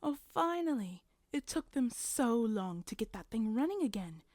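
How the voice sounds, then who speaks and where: A woman's voice: a little high, very animated, moderate pace, a little mellow, no room sound, clean studio sound. A woman playing a relieved, apologetic supervisor and friend in an audio roleplay.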